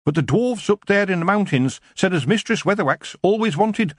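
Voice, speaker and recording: A man voicing a fussy, haggling male dwarf broom maker in a storybook reading, with a husky voice. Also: high-pitched, animated, moderate pace, no echo, clean studio sound, pitched above the performer's own voice. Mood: wheedling. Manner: haggling, complaining.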